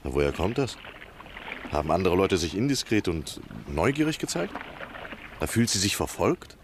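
A man's voice: sits low, sing-song, normal speed, background noise. A man playing a courteous, scheming lodger in a German radio drama, questioning politely but insistently. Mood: coaxing, insistent.

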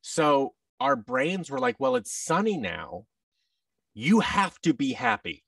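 A man in his thirties with an American accent, chatting casually as an interview guest and telling anecdotes in an expressive way. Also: on the high side, slow, gravelly, husky, no room sound, far from the mic. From Seattle, United States. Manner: animated, anecdotal, acts out quoted thoughts. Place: large furnished indoor room, video call. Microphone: boom mic and headset, slightly off-mic.

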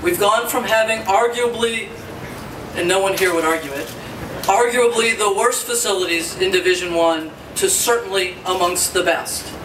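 A man's voice: high-pitched, moderate pace. A man speaking upbeat, promotional remarks at a press conference. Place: conference room at a stadium. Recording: echoey room from far off, noisy.

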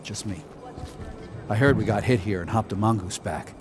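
A man's voice: lowish voice, moderate pace, noisy background, close to an everyday voice. An actor playing a blunt, sarcastic male colonist scout.

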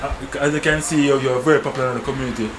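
A man's voice: medium pitch, fairly even intonation, racing. A man, chatting casually as a vlogger inside a small takeaway shop, often restarting his sentences. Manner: chatty, repeats himself, many restarts. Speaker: vlogger interviewing a shop owner. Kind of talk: casual street vlog chat. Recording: roomy shop with loud background noise.

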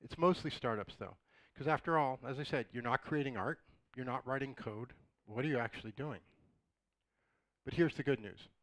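A middle-aged man talks through a conference lecture in a relaxed way, dropping joking asides to the audience. His voice is slightly raspy and sits at an ordinary pitch. The sound is dry and close. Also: moderate pace, no room sound, rough recording. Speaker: conference speaker. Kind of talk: conversational lecture. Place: dark auditorium, in front of a projected slide.

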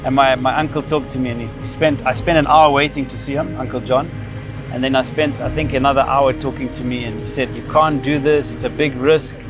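A man's voice: medium pitch, steady tone, brisk. Zimbabwean man reminiscing over music. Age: 50s. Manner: reminiscing, explanatory. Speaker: vlogger. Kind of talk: casual personal storytelling. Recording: music behind the voice.

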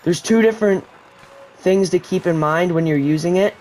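A man's voice: high voice, normal speed, rough recording. A man giving a casual, rambling voice-over tutorial for a survival video game, explaining as he plays. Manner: relaxed, rambling explanation with restarts. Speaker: gaming YouTuber.

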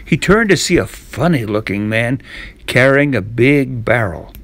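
A man's voice: mid-range voice, expressive, a little slow, fairly bright tone, no room sound. Man reading a storybook in a dry room. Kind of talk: scripted storybook narration.

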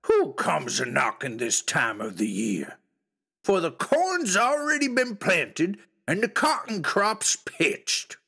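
Big indignant storybook bear played by a male narrator: hollering, slow, swooping wildly in pitch. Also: huge pitch swings, creaky, pushed and bright, husky, exaggerated voice. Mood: indignant, alarmed. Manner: hollering, drawn-out, folksy.

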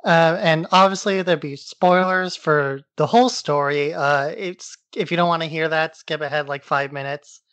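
A man's voice: a little high, normal speed, few pauses, a bit bright, no echo. A man co-hosting a podcast, giving a casual, informal and irreverent plot recap. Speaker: podcast co-host.

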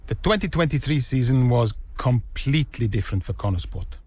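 An actor playing a self-assured, theatrical racing team owner in a video game cutscene, with polished, pausing delivery. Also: male voice, mid-range voice, expressive, darkish tone, natural voice. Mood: reflective.

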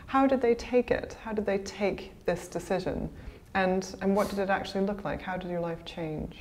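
A woman's voice: medium pitch, normal speed, a little mellow, a little hiss or hum. A woman in her thirties interviewing conversationally, seated in a small room. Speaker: interviewer, magazine editor. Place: small indoor office room, seated at a table.

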